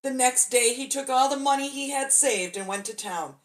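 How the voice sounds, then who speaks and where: A woman's voice: high voice, moderate pace. A woman storyteller reading a children's bedtime story expressively, drawing out key words for dramatic emphasis.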